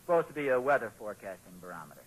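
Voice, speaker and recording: A man's voice: mid-range voice, big pitch swings, very fast, low-quality recording. An actor playing a well-meaning, flustered father in 1940s radio comedy-drama, hedging and backpedaling in a homespun way. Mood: casual, doubtful. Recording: 1940s radio broadcast recording.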